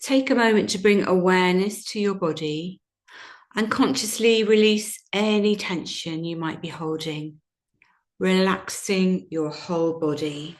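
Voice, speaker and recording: A woman teaching yoga nidra, guiding a relaxation meditation in a gentle, soothing, unhurried manner with long pauses. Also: lowish voice. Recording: roomy, echoing sound.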